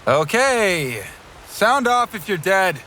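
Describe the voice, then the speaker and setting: Boy team leader man acting, parody cartoon. Sarcastic and fed up, high for a male, lively pitch. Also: takes it slowly, background noise, exaggerated voice. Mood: dry, exasperated. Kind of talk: comedic cartoon parody dub. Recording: music behind the voice.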